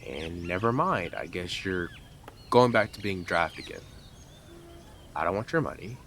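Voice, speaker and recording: A man's voice: low voice, big pitch swings, a little slow, fairly bright tone, a little hiss or hum, exaggerated voice. A man playing a blunt, sarcastic, teasing stalker who is devoted to the listener in an intimate roleplay audio drama. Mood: smug, mocking.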